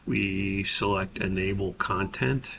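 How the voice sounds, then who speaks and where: A man giving a methodical, step-by-step software tutorial as an instructor, explaining in a somewhat hesitant way. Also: low-pitched, steady tone, slow pace, gravelly, slight background noise.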